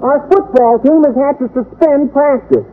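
Very high-pitched melodramatic teenage boy. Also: normal speed. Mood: gloomy, melodramatic. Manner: pompous, declamatory. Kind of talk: 1940s-50s radio sitcom acting. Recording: noisy, tinny old-time radio recording.

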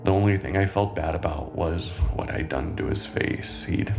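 A man playing a calm, brooding cannibal killer in a scripted horror monologue, speaking in a measured, deliberate way with dramatic pauses and a gravelly voice. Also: low-pitched, close to an everyday voice. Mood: calm, wistful. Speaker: writer and narrator of a horror fiction podcast. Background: music soundtrack.

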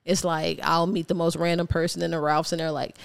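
An American woman speaks as a podcast guest in a smooth, clear voice with plenty of vocal fry. Her talk is casual and reflective. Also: low-pitched, level tone, fairly quick. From Ohio, United States. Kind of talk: casual conversational interview.

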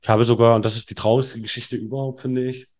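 A man's voice: mid-range voice, flat delivery, quick pace, a little mellow. A man in his thirties, a podcast guest telling candid stories in a rambling way with lots of fillers and restarts. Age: 30s. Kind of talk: candid conversational storytelling.

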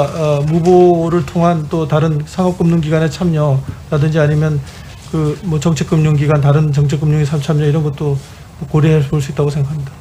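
Male executive at a hearing, slightly high mellow voice, formal but halting answers. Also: slight background noise. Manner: hesitant, halting explanation. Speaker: bank chief executive testifying to parliament. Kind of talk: formal testimony, answering questions. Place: parliamentary hearing room.

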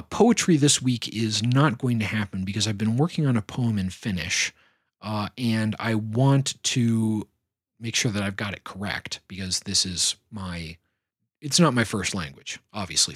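A man hosting a solo podcast, talking in a measured, thoughtful way. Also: on the low side, breathy edge, no echo, studio quality. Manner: measured, thoughtful delivery with fillers. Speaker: podcast host. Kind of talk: reflective solo podcast talk.

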